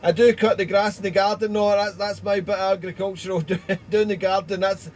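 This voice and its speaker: Welsh man in his forties, slightly high voice, rambling radio chat, faint hiss. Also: fairly even intonation, a little fast, a little mellow. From Wales. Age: 40s. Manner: rambling, repeats words, ends with "over". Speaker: ham radio operator.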